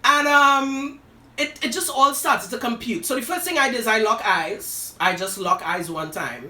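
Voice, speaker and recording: A woman's voice: on the high side, normal speed, sharp tone. A woman, hosting a live show and telling a personal story with dramatic, sassy flair. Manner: dramatic, sassy asides, addresses listeners as "girl" and "darling". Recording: roomy echo, voice from a distance.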